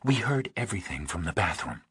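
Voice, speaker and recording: A man's voice: low voice, very animated, brisk, fairly bright tone, husky, no echo, clean studio sound, full character voice. A man voicing a skeptical, guarded professional woman with clipped speech in an audiobook thriller. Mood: accusing, cool.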